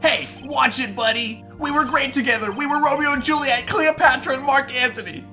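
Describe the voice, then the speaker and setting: Loud, high-pitched indignant young slacker. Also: male voice, fairly even intonation, fairly quick, soft background music. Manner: loud, dramatic, slangy. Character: excitable young slacker defendant, indignant and whiny. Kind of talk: video game fan dub.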